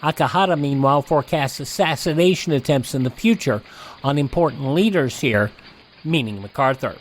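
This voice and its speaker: A man hosting a classic radio program, reading the news and the show introductions in a steady, announcer-like manner. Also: mid-range voice, fairly quick, slight background noise. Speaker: radio host.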